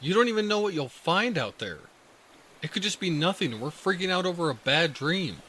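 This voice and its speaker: An actor playing a skeptical, cautious friend in an audio drama, questioning and doubtful. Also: male voice, sits high, sing-song, brisk, bright, forceful tone, slight background noise, exaggerated voice. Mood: worried, urging. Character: skeptical, cautious male friend urging retreat.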